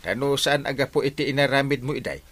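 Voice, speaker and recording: A man playing an excitable, good-natured village captain who exclaims and stammers in an Ilocano radio comedy, with an Ilocano Filipino accent. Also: medium pitch, quick pace, lightly acted. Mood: concerned. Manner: swooping exclamations, stammering openers.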